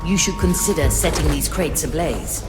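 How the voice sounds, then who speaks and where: Game role: actress as ghost ship's soul, low female voice, formal and measured, echoing, loud music underneath. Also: natural voice. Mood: calm, instructive. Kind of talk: video game voice acting.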